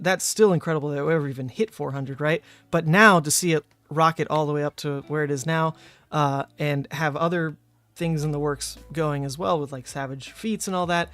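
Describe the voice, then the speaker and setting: Male streamer, hoarse and rambling, a touch high-pitched. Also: fairly bright tone, no room sound. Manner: rambling and chatty, frequent "uh" and "like". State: hoarse, voice partly gone. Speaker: card game streamer. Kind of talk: casual livestream chat.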